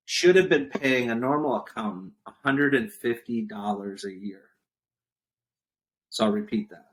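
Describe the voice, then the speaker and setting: A man's voice: medium pitch, fairly bright tone, low-quality recording. A man in his forties talking casually as an interview guest, emphatic and repeating his points for effect, with an occasional cough. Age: 40s. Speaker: podcast guest, entrepreneur.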